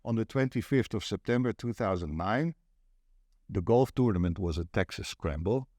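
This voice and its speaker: A mature man with a Dutch accent and a very low voice, recorded close and dry. He is an event organiser being interviewed, recounting things in a measured, deliberate way. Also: lively intonation, a little mellow, no echo. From Netherlands.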